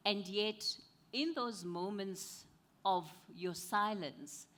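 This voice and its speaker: A woman moderating a panel discussion, asking questions in a measured, thoughtful way. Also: medium pitch, a bit bright.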